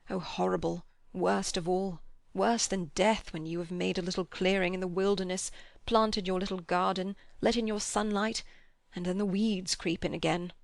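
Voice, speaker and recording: A woman reads a grieving old father in an audiobook, speaking slowly and quietly. The voice sits low with a gravelly fry. The sound is close and dry. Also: dry recording. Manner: slow, quiet, heavy.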